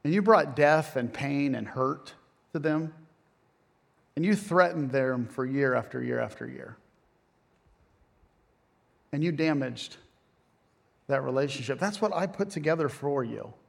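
A man's voice: medium pitch, lively intonation, unhurried, gravelly, long pauses. A middle-aged man preaching a conversational sermon, casual and self-deprecating with joking asides.

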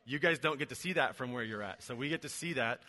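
A man's voice: medium pitch, fast talker, pushed and bright. A man in his forties preaching a casual, joking church sermon full of fillers, heard in a large church auditorium. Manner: chatty and joking, many fillers. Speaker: venue director and preacher. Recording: long hall reverb.

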